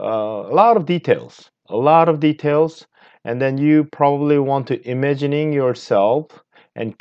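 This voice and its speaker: A man from Korea, a native Korean speaker with a Korean accent, giving an earnest leadership lecture as a martial arts master over slides, unhurried, pausing often. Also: medium pitch, clear, resonant tone, lo-fi sound. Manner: earnest, unhurried, with frequent pauses and fillers.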